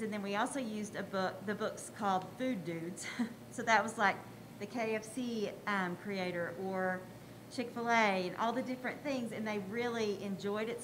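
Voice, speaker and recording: An older woman teacher speaks in a conversational, explanatory way at a podium microphone. Her voice sits at an average pitch, filtered through a face mask, with some light room noise around it. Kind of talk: informal award presentation to a school board. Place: school board meeting room.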